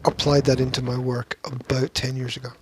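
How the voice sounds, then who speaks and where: A man's voice: medium pitch, flat delivery, brisk. Teasing man podcaster, slight background noise. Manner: teasing, matter-of-fact. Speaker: podcast co-host. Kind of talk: casual conversational podcast banter.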